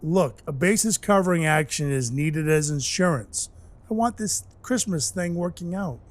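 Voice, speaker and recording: A man acting in an audio drama as an awkward, gruff but kindhearted Christmas dwarf who rambles earnestly and runs himself down. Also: fairly high pitch, expressive, normal speed, close to an everyday voice. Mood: anxious, urgent. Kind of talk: audio drama acting with first-person narration. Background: music under the voice.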